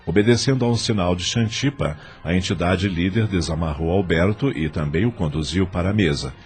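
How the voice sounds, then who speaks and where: Um homem com sotaque brasileiro narrando uma novela de áudio a partir de um texto. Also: male voice, sits low, a little fast. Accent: Brazilian. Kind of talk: scripted audio drama narration.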